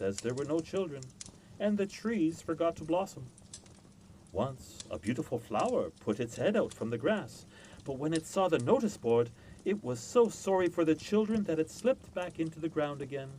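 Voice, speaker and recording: A man reading a classic children's story aloud as an expressive scripted narration. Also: medium pitch, slight background noise, low-quality recording. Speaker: storyteller, reader.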